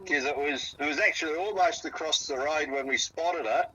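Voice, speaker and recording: Bright-voiced Australian man, halting. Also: fairly high pitch, husky, dry recording, muffled recording. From South Australia. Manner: halting, repeats words while recounting. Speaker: interviewee, eyewitness. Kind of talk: phone interview. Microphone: phone on speaker.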